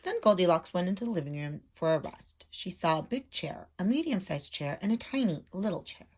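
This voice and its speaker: A woman's voice: on the low side, expressive, fairly quick, husky. A woman in her thirties speaking as a teacher, explaining step by step and reading a storybook aloud to children at home. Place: small room at home.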